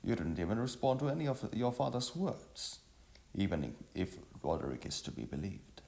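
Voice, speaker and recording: A man playing an earnest young village workman in a storybook, formal, deliberate and halting. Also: fairly low pitch, big pitch swings, creaky, dark tone, pitched above the performer's own voice. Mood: earnest, explaining.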